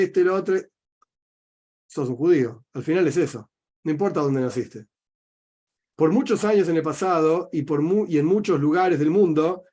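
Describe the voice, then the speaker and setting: A man's voice: fairly high pitch, a little mellow. A middle-aged man with an Argentine accent, a rabbi giving a religious teaching talk, explaining by asking himself questions and answering them. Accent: Argentine Spanish.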